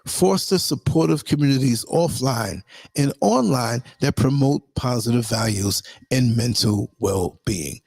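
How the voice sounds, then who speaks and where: A hoarse man hosting a livestream, reading a list of tips and then rambling casually to his viewers. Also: mid-range voice, measured pace, a little mellow, no echo. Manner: rambling, chatty, addresses viewers as y'all. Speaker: livestream host.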